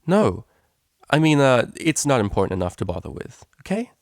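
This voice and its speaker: A man's voice: mid-range voice, sing-song, moderate pace, creaky, no room sound, studio quality, voice pushed up. A man voicing a brash young female unicorn DJ in a slangy, offhand storybook reading. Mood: awkward, flustered.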